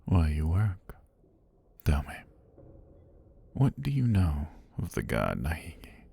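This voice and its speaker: A man voicing an ancient giant serpent god in an ASMR fantasy roleplay, languid and sinister, with formal, amused, softly menacing speech and a husky, breathy, gravelly voice. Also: a bass voice, wildly swooping pitch, slow pace, heavily acted. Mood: languid, calm.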